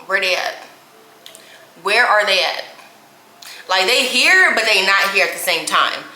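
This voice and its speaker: A woman's voice: mid-range voice, lively intonation, measured pace, pushed and bright, echoey room, rough recording. A woman in her twenties from Indianapolis, chatting casually while doing her makeup, with lots of "y'all" and "like". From Indianapolis, USA. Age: 20s. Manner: chatty, says "y'all" and "like" often. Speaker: beauty vlogger.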